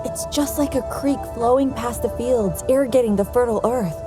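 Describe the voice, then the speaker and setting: An actress playing a composed, earnest woman researcher in a video game, measured and explanatory in delivery. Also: fairly high pitch, over music, natural voice. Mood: earnest, reflective. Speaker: game character.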